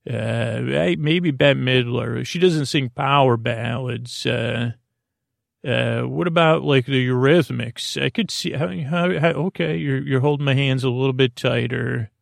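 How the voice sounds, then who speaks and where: A man hosting a bedtime podcast, rambling haltingly through whimsical, improvised asides and stumbling over his words in a creaky voice. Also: mid-range voice, no room sound, clean studio sound. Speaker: podcast host. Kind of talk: rambling improvised bedtime monologue to help listeners fall asleep.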